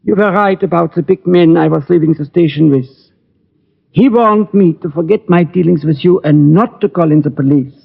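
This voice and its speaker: An actor playing an anxious, secretive older gunsmith who speaks formally and hesitantly, in 1940s radio drama style. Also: male voice, a little high, moderate pace, gravelly, husky, full character voice. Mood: anxious, confiding. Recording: muffled old radio recording.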